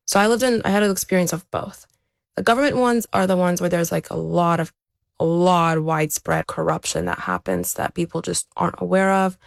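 Low, creaky-voiced woman speaking reflectively. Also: lively intonation, fairly quick, dry recording. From born in Ukraine, raised there to age seven, then America. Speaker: interview guest, elite athlete. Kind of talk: reflective personal account in conversation.

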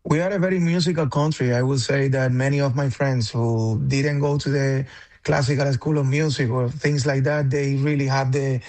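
A man from Cuba talks on a radio show as a guest musician. He speaks haltingly, with lots of fillers and restarts, in an ordinary middle pitch. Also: steady tone, darkish tone. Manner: hesitant, many fillers and restarts. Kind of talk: radio interview.

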